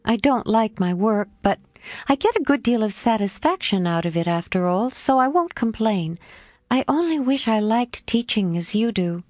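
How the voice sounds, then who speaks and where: A woman's voice: fairly high pitch, expressive, normal speed, darkish tone, no echo, pitched above the performer's own voice, lightly acted. A woman voicing a shy, earnest young governess in a storybook reading. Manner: bashful, earnest.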